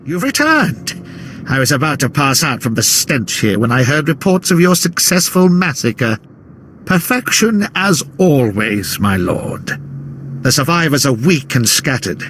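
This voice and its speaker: A pompous, swooping Imperial officer. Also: male voice, mid-range voice, sing-song, measured pace, a little hiss or hum. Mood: gushing, self-satisfied. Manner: pompous, obsequious, florid. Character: pompous, fussy, fawning male Imperial army officer. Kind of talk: video game acting. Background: loud music.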